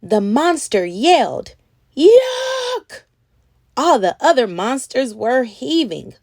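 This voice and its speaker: Female children's read-aloud host, animated storybook narration, unhurried pace, very dry close sound. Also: fairly high pitch, vocal fry, no echo. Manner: animated, acts out words and sound effects.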